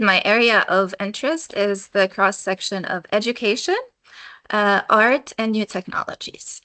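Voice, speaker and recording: Woman educator from Lebanon, bright tone, dry close sound, animated interview answers. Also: mid-range voice, dry recording. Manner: animated, acts out students' voices. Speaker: educator and doctoral researcher.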